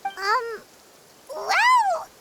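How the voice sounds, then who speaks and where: A tiny fairy companion from a video game, with an extremely high, squeaky, childlike voice. She is earnest and chatty, and her pitch leaps around. Loud noise sits behind the voice. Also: big pitch swings, very slow, heavily acted. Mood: excited, nervous. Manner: refers to self in third person. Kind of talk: video game character acting.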